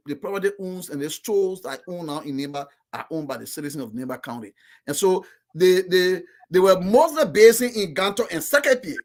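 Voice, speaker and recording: Man, Liberian English accent, fairly high pitch, emphatic, casual chat. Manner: emphatic, insistent explanations. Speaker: political commentator. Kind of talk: casual discussion.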